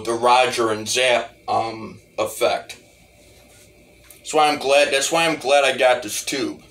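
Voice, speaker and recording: A man giving a casual, rambling demo of music gear, halting and repeating his phrases as he goes. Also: mid-range voice, a bit bright. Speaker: hobbyist musician reviewing talk box gear.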